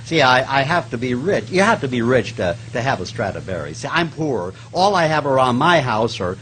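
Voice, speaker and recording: A man playing a stingy comedian posing as an innocent quiz contestant, hesitant and deadpan with whiny protests. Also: mid-range voice, moderate pace. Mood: plaintive. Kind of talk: comic stage recreation of 1950s radio. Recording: noisy live stage recording.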